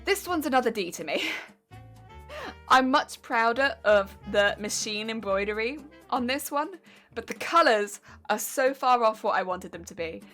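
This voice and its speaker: Young English woman streamer, casual and self-critical, fillers and laughs, higher pitch. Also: expressive, unhurried, sharp tone, faint music behind. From England. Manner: chatty, self-critical, answers chat questions, frequent fillers and laughs. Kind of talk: casual live-stream chat while sewing.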